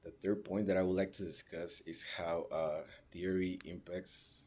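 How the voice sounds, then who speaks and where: A man gives a class presentation. He pauses often and searches for words, checking in with short tag questions as he goes. A faint hiss of noise sits under the recording. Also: sits low, level tone, darkish tone. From Mexico (raised in Ciudad Juárez). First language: Spanish. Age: 30s. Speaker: registered nurse.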